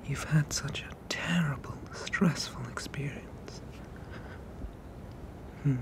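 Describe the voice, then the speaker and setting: Sea monster dad someone acting it, whispers real slow n soothing, doting, kinda possessive, asmr roleplay. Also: mid-range voice, steady tone. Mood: soothing, tender. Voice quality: breathy whisper. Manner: soothing, hushing, slow and deliberate.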